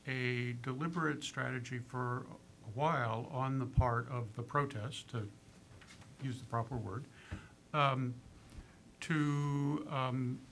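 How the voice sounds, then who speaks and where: A man answers on a panel in a measured, halting way, filling gaps with ums and uhs. His voice sits in an ordinary middle pitch. A faint rumble runs beneath. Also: fairly bright tone. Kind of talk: panel discussion.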